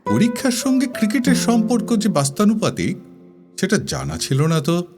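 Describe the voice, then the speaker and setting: A man's voice: high voice, big pitch swings, normal speed, creaky, exaggerated voice. A man playing a lovestruck, evasive university student in a recited dramatic dialogue, teasing and wistful, with a Kolkata Bengali accent. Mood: flippant, dreamy. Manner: teasing, whimsical, sulky. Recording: studio voice over guitar music. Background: plucked guitar music.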